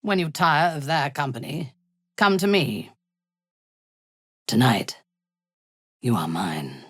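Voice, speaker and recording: A woman playing a ruthless, imperious female dark elf warrior in a video game, with commanding, disdainful and measured delivery. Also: rumbling low, sing-song, breathy edge, dry recording, clean studio sound, exaggerated voice. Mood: intense, possessive. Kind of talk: video game character acting.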